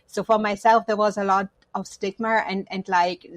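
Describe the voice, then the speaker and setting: A woman's voice: medium pitch, flat delivery, moderate pace, dry recording. A woman from Germany, not an English speaker, speaking reflectively about personal matters as an interview guest. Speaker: podcast guest, counselor.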